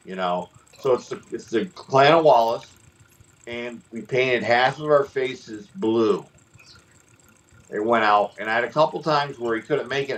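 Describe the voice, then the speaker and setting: A middle-aged man with an even, mid-pitched voice rambles through stories on a casual podcast. He jumps from tangent to tangent and restarts his sentences often. His tone stays animated and chatty. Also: low-quality recording. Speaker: podcast host, former wrestler. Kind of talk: casual storytelling chat.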